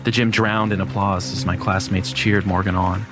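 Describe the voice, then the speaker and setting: A man's voice: sits low, little pitch movement, moderate pace, over music. A man narrating a scripted first-person horror story.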